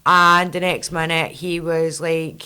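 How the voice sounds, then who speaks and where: A woman in her thirties with a Scottish accent and a low husky voice, hosting a podcast and telling animated stories while swearing freely. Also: slow. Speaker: podcast host. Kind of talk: casual chat.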